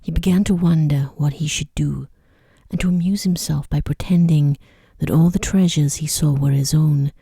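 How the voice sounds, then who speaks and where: Very dark, soft-spoken woman reading a bedtime story. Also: deep voice, steady tone, gravelly, breathy edge, no echo, clean studio sound. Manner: hushed, gentle, measured reading. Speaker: narrator of sleep stories.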